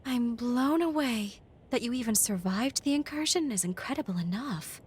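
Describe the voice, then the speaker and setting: An actress playing an eccentric, eager young female scientist in a video game, with a playful, theatrical and expressive delivery, in anime-style acting. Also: fairly high pitch, moderate pace, a little hiss or hum, close to an everyday voice. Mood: playful, intrigued. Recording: voice over background game music.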